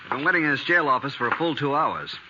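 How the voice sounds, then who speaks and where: An actor playing a cold, haughty American moneylender in a 1950s radio western, pompous, formal and clipped. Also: male voice, a little high, expressive, fast talker, close to an everyday voice. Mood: haughty, impatient. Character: cold, haughty male moneylender, unyielding and imperious. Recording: muffled, noisy 1950s radio recording.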